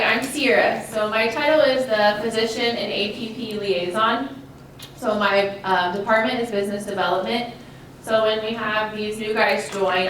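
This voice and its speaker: A woman with a loud voice, a physician liaison, explaining her work in a brisk, clear way. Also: medium pitch. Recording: echoey room, far from mic, noisy.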